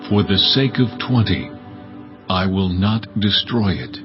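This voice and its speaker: An actor playing God as a solemn, commanding divine judge who speaks deliberately in a dramatized scripture. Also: male voice, low voice, highs cut off, soft background music. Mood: calm, merciful.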